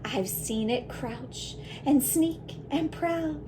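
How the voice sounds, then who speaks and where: A woman voicing a brave, imaginative little schoolgirl in a picture book, with dramatic, emphatic, drawn-out delivery. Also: high-pitched, background noise, voice pushed up. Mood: bold, excited.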